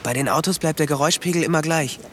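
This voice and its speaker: A man's voice: on the high side, quick pace, fairly bright tone, close to an everyday voice. An actor playing an intense, dreamy teenage boy obsessed with birds, in a radio drama. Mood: eager, explaining. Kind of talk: German radio drama acting. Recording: clear noise, clicks and cooing pigeons. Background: pigeons cooing, clicks.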